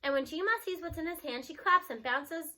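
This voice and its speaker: A woman giving an expressive read-aloud of a children's novel. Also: very high voice, brisk.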